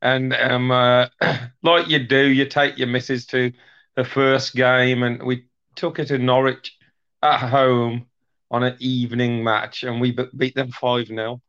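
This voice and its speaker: A man, a guest on a football fan show, telling a relaxed anecdote. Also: mid-range voice, unhurried, clear, resonant tone, lo-fi sound. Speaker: guest pundit. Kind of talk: casual chat.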